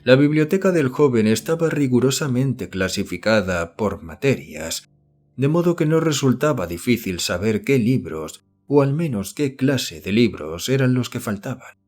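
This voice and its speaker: A man's voice: mid-range voice, expressive, moderate pace, a little mellow, faint music behind. Man narrating a horror audiobook. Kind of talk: scripted narration of a horror novel.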